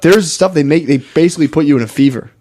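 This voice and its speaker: A man's voice: a little high, quick pace, warm tone. Slangy guy bantering on a comedy podcast. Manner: profane, slangy, says "dude" constantly. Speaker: podcast co-host.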